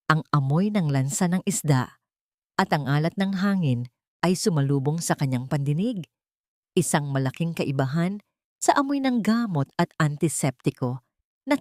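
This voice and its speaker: A woman narrates an audio drama in a fairly low voice. Her delivery is scripted, solemn and melodramatic. The recording is very dry, with little room sound. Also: darkish tone, no echo.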